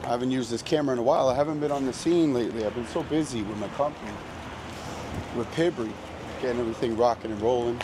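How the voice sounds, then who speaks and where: An American man rambles casually through a walking vlog in an easygoing, slangy way. His mid-pitched voice is a little raspy, as he is getting over being sick. He speaks into a handheld mic outdoors, with clear street noise around him. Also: fast. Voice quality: slightly raspy. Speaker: vlogger hosting a walking tour. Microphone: handheld microphone. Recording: noisy outdoor street ambience.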